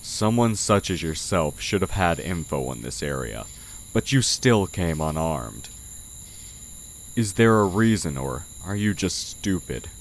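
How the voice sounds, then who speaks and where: A man playing a sly oni who poses as a humble village blacksmith in an audio roleplay, talking in a measured, condescending way. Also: low voice. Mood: probing, suspicious. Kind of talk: audio roleplay, intimate character acting. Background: night forest ambience with crickets.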